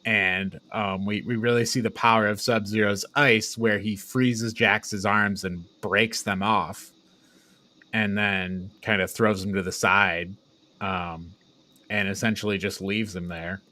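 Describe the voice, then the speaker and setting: A man's voice: lowish voice, fairly even intonation, a little slow, pushed and bright. A man co-hosting a casual film review chat, talking informally with friends. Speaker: podcast co-host.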